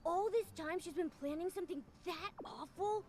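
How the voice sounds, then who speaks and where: A woman voicing a small, excitable, cute companion creature in a video game, with exclamatory delivery. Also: extremely high pitch, normal speed, slight background noise. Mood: dismayed.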